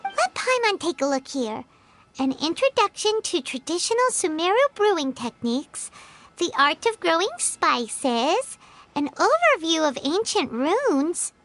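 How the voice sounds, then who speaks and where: A woman voicing a tiny childlike fairy companion in a video game, perky and outspoken, with a squeaky, exclamatory delivery. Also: big pitch swings, unhurried, a bit bright, full character voice. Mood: upbeat, helpful. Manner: squeaky, exclamatory, refers to self by name. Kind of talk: video game character dialogue.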